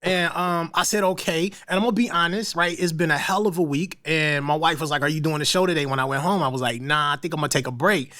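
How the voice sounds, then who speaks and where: A man's voice: fairly high pitch, fast, hardly any pauses, sharp tone. A man hosting a casual talk show, joking around in loose slang. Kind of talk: casual conversation.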